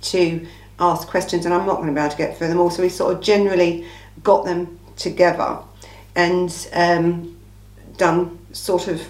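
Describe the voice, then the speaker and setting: A woman with an English accent and a slightly croaky, under-the-weather voice, hosting a casual true-crime Q&A in an informal, emphatic way with rhetorical asides. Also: lowish voice, flat delivery, normal speed, darkish tone. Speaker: true-crime channel host. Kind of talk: casual chatty Q&A monologue. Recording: echoey room.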